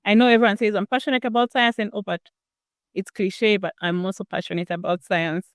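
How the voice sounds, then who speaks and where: A young woman from Zambia, a guest scholar telling her story in a lively, conversational way. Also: medium pitch, brisk, no echo, clean studio sound. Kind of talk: conversational storytelling on a video call.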